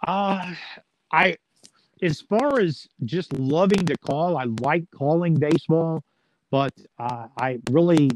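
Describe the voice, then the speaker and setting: A man's voice: a little high, takes it slowly, slight background noise, low-quality recording. A man talking casually as an interview guest, folksy and plain-spoken, with a joking streak. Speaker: sports play-by-play announcer as interview guest.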